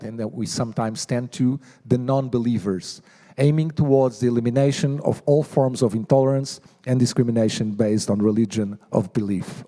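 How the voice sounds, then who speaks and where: A man's voice: mid-range voice, dark tone. A man giving a formal prepared speech at a panel, with a read-aloud delivery. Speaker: speaker at a panel.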